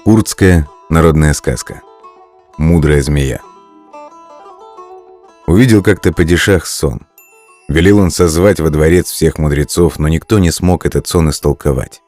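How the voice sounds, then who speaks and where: A man's voice: very deep voice, unhurried, long pauses, darkish tone, faint music behind. A man reading a folk tale as scripted storybook narration.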